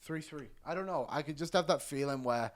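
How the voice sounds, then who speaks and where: A man hosting a sports podcast, chatting casually and reading out betting odds in a lively way. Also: fairly high pitch, brisk. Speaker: podcast host.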